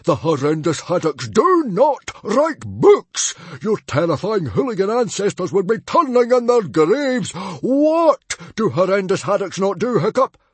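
A man's voice: high voice, very animated, breathy edge, no echo, voice pushed up, full character voice. A man voicing a huge, pompous Viking chief and father in a storybook, blustering and indignant. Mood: furious, bellowing. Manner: bellowing, blustering, pompous, drawn-out indignant delivery. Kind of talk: character voice in storybook narration.

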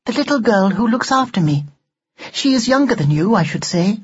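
Narrator putting on a prim, haughty Victorian doll, raised pitch. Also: animated, moderate pace, husky, lo-fi sound. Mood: prim, inquisitive. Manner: formal, precise, condescending. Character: antique Victorian girl doll, prim, haughty, old-fashioned.

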